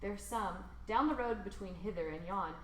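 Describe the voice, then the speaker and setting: A woman reads a rhyming children's picture book aloud expressively, with theatrical emphasis and drawn-out words. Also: medium pitch, reverberant, a little hiss or hum. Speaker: storybook reader.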